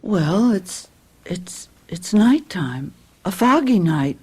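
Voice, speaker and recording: A woman's voice: on the low side, very animated, takes it slowly, a little mellow, husky, heavily acted. An actress playing an anxious, bewildered wife and mother in a radio mystery drama, hesitant and plaintive.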